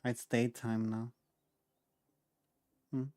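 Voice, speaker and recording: A woman's voice: a bass voice, little pitch movement, extremely slow, dark tone, no echo. A woman giving casual game-streaming commentary, offhand, as if muttering to herself.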